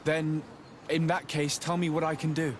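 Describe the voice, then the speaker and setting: Man voicing young fantasy nobleman, earnest, polite, resolute, slightly high male pitch, faint background noise. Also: level tone, moderate pace, close to an everyday voice. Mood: courteous, earnest. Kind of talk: video game dialogue.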